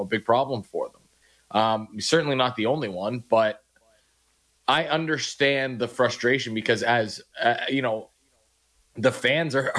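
A man's voice: mid-range voice, a bit bright. A man co-hosting a sports radio show, talking casually and restarting his sentences often as he argues his points.

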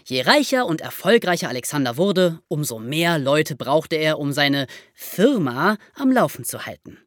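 Female podcast co-host, lower register, very varied melody, conversational narrator. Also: very animated, normal speed, no room sound, studio quality.

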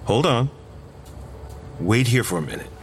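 An actor playing an affectionate young man, a boyfriend with a casual, gently teasing manner, in an audio drama. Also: medium pitch, very animated, a little slow, gravelly, a little hiss or hum. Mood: casual, proud. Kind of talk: audio drama dialogue, naturalistic.

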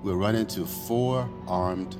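An actor playing a cool, secretive imaginary companion who plans an escape, calm and deliberate, in an audio drama. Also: male voice, low voice, over music. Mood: deliberate, cautious. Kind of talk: audio fiction acting.

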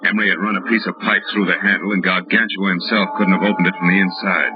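An actor playing a hardboiled, wry private detective in 1940s radio acting, tough and clipped. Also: male voice, low-pitched, little pitch movement, fast, lightly acted. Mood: wry, grim. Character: hardboiled, wry male private detective narrating his case. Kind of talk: 1940s radio acting, scripted first-person narration. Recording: muffled old radio recording with music.

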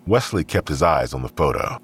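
A man narrating a crime audio drama in a grave, deliberate, scripted storytelling style. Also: extremely low pitch, lively intonation, slight background noise.

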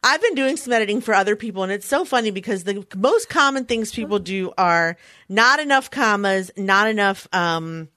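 A woman with a Philadelphia accent hosting a podcast, talking in an animated, teasing way with lots of restarts. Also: medium pitch, normal speed, no echo, studio quality. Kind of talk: casual conversation.

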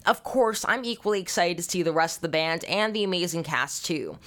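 A man's voice: sits high, animated, a little fast, sharp tone. A young American man in his teens with an earnest, enthusiastic manner, hosting a show with a scripted introduction. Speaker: show host.

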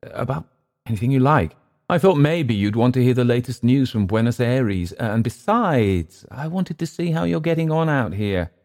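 Man voicing a composed old captain, pitched up. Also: lively intonation, normal speed, a little mellow, lightly acted. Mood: genial. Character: composed, probing old gentleman sea captain.

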